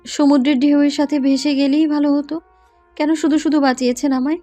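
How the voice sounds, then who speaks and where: A woman's voice: high-pitched, level tone, brisk, mellow, soft background music, natural voice. A woman playing a hurt, sulky young wife in a dramatised love story. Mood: angry, hurt.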